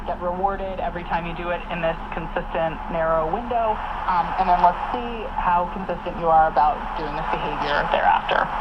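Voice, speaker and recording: A woman giving articulate, professorial explanations as an expert guest in a radio interview. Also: fairly low pitch. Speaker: researcher and teacher, interview guest. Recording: noisy, muffled radio reception, re-recorded from speaker.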